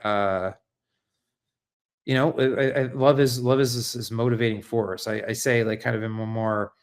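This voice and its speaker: Halting, earnest American man thinking aloud. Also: fairly low pitch, steady tone. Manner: halting and searching, repeats words, tags phrases with "right?"; earnest, reflective. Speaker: livestream host and essayist. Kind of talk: unscripted livestream monologue on politics and philosophy.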